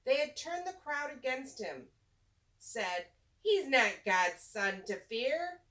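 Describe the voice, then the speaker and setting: A woman reading a rhyming children's storybook aloud, with deliberate, teacher-like delivery and simple asides to young listeners. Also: sits high, big pitch swings, sharp tone, far from the mic.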